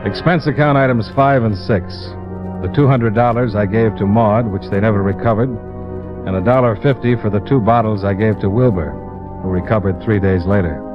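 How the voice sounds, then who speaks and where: Man acting a low-voiced hard-boiled American radio detective. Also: moderate pace, close to an everyday voice. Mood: wry, businesslike. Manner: hard-boiled, dry, clipped storytelling. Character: tough, wry male freelance insurance investigator narrating his case. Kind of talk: 1950s radio detective narration. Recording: dull vintage radio recording with music.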